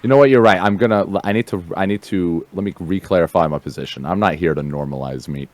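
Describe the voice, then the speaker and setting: A man talking casually, fast and passionately, swearing, repeating and restarting words. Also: low voice, darkish tone. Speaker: streamer guest. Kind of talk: casual chat.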